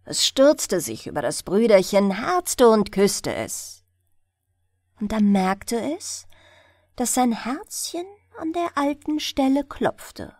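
A woman narrates a fairy tale for bedtime in a gentle, soothing way. She takes her time, with a very melodic delivery, and the recording is close and dry. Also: fairly high pitch, slow, no room sound. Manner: gentle, soothing, expressive storytelling. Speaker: audiobook narrator.

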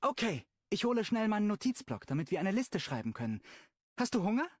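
A man's voice: high voice, big pitch swings, brisk, no room sound, studio quality, pitched above the performer's own voice. A man voicing a cheerful, eager young woman in an audiobook, with a bubbly, pleading manner. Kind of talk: audiobook dialogue.

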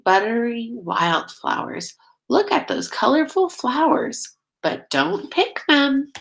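A woman's voice: on the high side, background noise. A middle-aged woman reading a picture book aloud as a teacher, expressively, drawing out key words and prompting young listeners with questions. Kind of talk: children's read-aloud.

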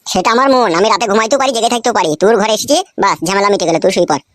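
A woman's voice: mid-range voice, very fast, close to an everyday voice. A woman voicing a crude, quarrelsome village husband in a comic cartoon, scolding and swearing. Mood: defiant, scolding.

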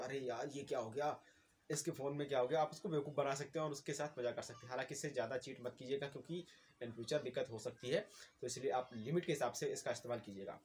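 Man, noisy recording. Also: medium pitch. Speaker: tech channel host. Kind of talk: presenter-style app tutorial to viewers. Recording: clearly noisy amateur recording.

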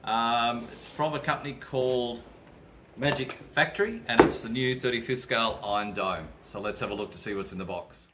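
A man's voice: mid-range voice, normal speed, heavy background noise. An older man casually showing a model kit from his hobby shop, rambling with fillers and restarts. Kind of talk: casual product unboxing. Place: hobby shop floor, indoors.

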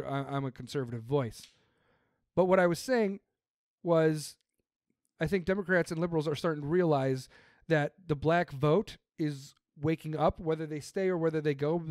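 A man's voice: a little high, darkish tone, clean tone, no echo, studio quality. A man on a podcast, ranting emphatically about politics with plenty of swearing. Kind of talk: casual political chat.

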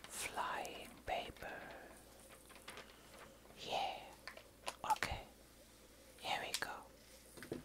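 Casting: female ASMR creator, whispered, very slow and halting read-aloud, paper crinkling behind. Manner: slow, halting reading aloud in short phrases. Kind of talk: whispered ASMR show and tell. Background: crumpling, crinkling paper.

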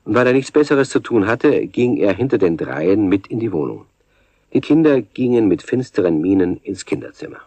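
A man's voice: lowish voice, expressive, normal speed, darkish tone, no echo. A man narrating a scripted children's radio story as the storyteller.

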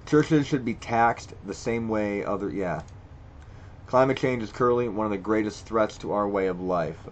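A man with a fairly low voice reads quiz statements aloud and answers them on the spot. He is blunt and profane, often stammering and restarting as he thinks. A faint hiss sits under the recording. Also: normal speed. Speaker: video creator. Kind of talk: reading quiz questions aloud and reacting. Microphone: screen recording with voice-over.

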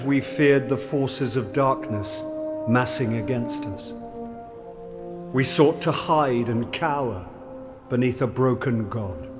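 A grand, solemn leader addressing followers in a game cutscene. He speaks slowly and with stately defiance, the voice ringing with long reverb over music. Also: mid-range voice. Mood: grave. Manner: stately, oratorical. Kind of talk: video game cutscene speech.

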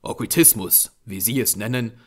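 A man's voice: fairly low pitch, normal speed, lightly acted. A man voicing an irritated, sceptical male artist in an audiobook, brusque and dismissive. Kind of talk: audiobook character voice.

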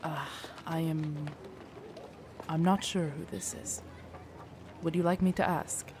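A woman playing a loyal, gentle royal knight in an audio roleplay, formal, deferential and soothing in her measured speech. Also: low voice, steady tone, noisy background. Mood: polite, hushed. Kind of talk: immersive audio roleplay acting.

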